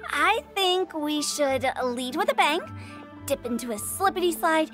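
Game casting: impish upbeat teen girl, high voice, whimsical, persuasive, faint music behind. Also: animated, a little slow. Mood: excited, whimsical. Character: quirky, mischievous, upbeat teenage girl poet. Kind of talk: anime game voice acting.

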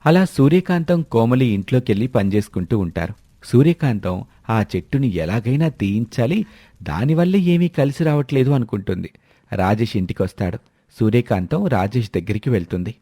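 A man's voice: mid-range voice, animated, fairly quick, dark tone, no echo, studio quality. A man narrating a scripted story as its storyteller.

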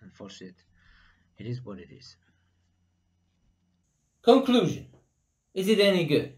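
A man in his thirties, not a native English speaker, casually reviewing a laptop in plain, halting English and apologizing for his language. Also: a little high, wildly swooping pitch, darkish tone, reverberant. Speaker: tech reviewer. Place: hot room at home with a construction site nearby. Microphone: laptop built-in mic and camera. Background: buzzing construction noise.

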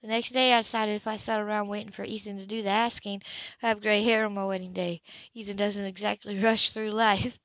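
A woman's voice: medium pitch, little pitch movement, a little fast, no echo, natural voice. A woman voicing a weary, hesitant, unhappy young mother in a novel reading. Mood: wistful. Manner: soft, hesitant, wistful. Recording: dull, lo-fi audio.

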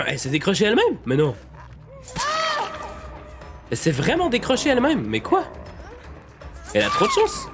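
A young man streaming a game live, chatting to his viewers, a little nasal from a cold, repeating himself when excited. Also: extremely high pitch, moderate pace, long pauses, music in the background. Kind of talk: live gameplay commentary.

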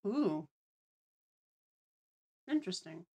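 A woman's voice: fairly low pitch, big pitch swings, very slow, warm tone. A woman co-hosting a livestream in casual, conversational chat. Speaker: livestream co-host.

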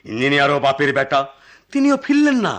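Animated high-voiced comic storyteller, man. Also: quick pace. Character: excitable male storyteller telling an absurd tall tale. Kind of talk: theatrical Bengali radio drama acting.

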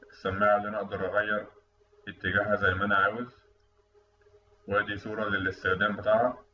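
A man with an Egyptian Arabic accent giving a technical lecture over a screen recording, explaining in a quick, conversational way. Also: lowish voice. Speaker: instructor. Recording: distant, dull, echoey room mic with faint noise.

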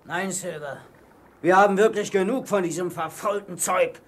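High-voiced grumbling pirate crewman. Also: moderate pace. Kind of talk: radio play acting.

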